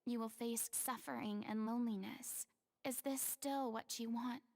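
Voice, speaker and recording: A hushed, gravelly young investigator. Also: female voice, on the high side, fairly even intonation, unhurried. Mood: solemn. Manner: hushed, half-whispered delivery. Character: young woman investigator, solemn and caring.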